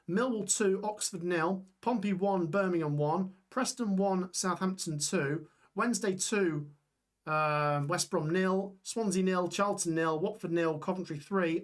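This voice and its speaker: Chatty man calling live scores. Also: high voice. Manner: chatty, reads scores aloud, reacts to chat. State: voice going hoarse. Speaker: live stream host. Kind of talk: live football watch-along commentary.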